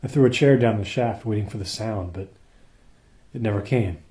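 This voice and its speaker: Actor as a low, mellow, dutiful space crewman. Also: lightly acted. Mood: uneasy. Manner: earnest, measured, deferential. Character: dutiful male crewman reporting to his captain. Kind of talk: radio play acting.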